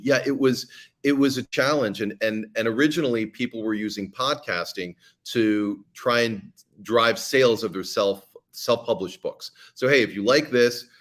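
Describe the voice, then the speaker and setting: Low-voiced man, halting and thoughtful. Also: fairly bright tone. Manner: hesitant, many fillers and restarts. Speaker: podcast creator and guest. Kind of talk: casual interview talk.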